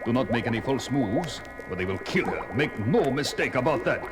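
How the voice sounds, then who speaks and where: An actor playing a scheming aristocratic vampire count, theatrical, sneering and imperious. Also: male voice, mid-range voice, lively intonation, moderate pace, close to an everyday voice. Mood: menacing. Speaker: villain. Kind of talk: 1970s record-album audio drama. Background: dramatic music score.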